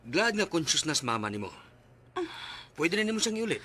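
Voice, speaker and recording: A man's voice: on the high side, very animated, fairly quick, pushed and bright, soft background music. A Filipino man with a Cebuano accent playing a serious, matter-of-fact doctor in a radio drama. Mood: grave, informative.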